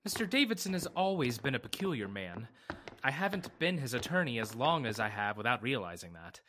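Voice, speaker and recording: A man acts out a level-headed attorney in a radio horror play. His voice is bright and forceful at a medium pitch, with lively rises and falls. He is brisk and skeptical in vintage radio fashion. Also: slight background noise. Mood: matter-of-fact. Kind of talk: old-time radio drama acting.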